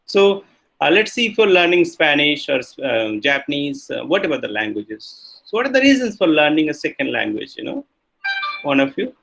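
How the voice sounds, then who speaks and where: A man's voice: on the high side, very animated, normal speed, creaky, fairly bright tone. A man with an Indian English accent teaching a university class online, speaking with many fillers and calling on students to answer. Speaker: university lecturer.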